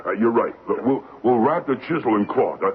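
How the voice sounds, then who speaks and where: A man playing an easygoing, apologetic burglar boss in old-time radio drama, genial and rambling with rough grammar. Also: medium pitch, animated, fairly quick, lightly acted. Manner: genial, rambling, mock-polite, uneducated grammar. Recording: narrow-band, hissy old radio broadcast.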